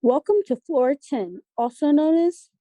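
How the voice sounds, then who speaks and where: Woman reading a friendly chatty teen girl, soft mellow tone, close dry sound. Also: high voice, lively intonation, unhurried, no room sound. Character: friendly, chatty teenage girl, team PR person. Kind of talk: table read of a scripted pilot.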